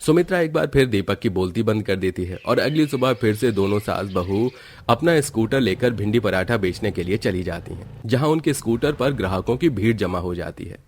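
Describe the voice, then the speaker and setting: A man's voice: lowish voice, animated, brisk, slight background noise. A man reading scripted narration for a cartoon family story.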